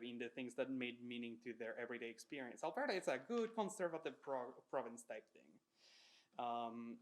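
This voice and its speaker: A man giving analytical comments in a discussion, with academic wording and halting self-corrections. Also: medium pitch. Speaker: panelist.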